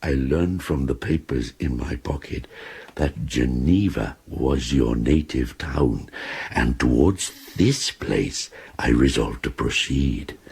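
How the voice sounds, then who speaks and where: An actor playing an anguished, vengeful outcast monster who speaks in formal, theatrical language in a radio drama. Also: male voice, extremely low pitch, gravelly, a little mellow, breathy edge, exaggerated voice. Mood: brooding. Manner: formal, slow, theatrical delivery. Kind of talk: radio drama acting, first-person narration and dialogue.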